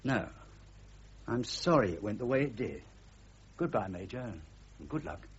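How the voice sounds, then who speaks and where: An actor playing a polite but persistent newspaper reporter in a radio drama, persuasive and coaxing, on a muffled recording. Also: male voice, mid-range voice, big pitch swings, a little slow, background noise. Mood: earnest, sympathetic.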